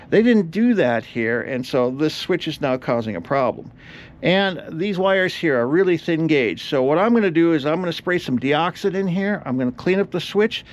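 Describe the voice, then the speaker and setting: Guy with a slightly high voice doing a casual repair video, talking through each step as he goes. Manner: explains each step aloud while working. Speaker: host of an electronics repair channel. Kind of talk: casual hands-on repair walkthrough.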